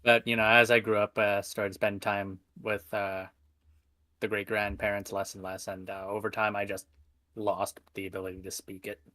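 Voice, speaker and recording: A man's voice: fairly low pitch, level tone, moderate pace, pushed and bright, no echo. A man chatting casually as a guest, with dry, matter-of-fact humor. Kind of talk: casual chat.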